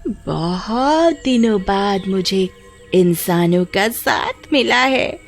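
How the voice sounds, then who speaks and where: A woman voicing a hungry, evil witch ghost in a horror story, luring with slow, drawn-out words and cackles. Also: mid-range voice, lively intonation, music in the background. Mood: gleeful menace.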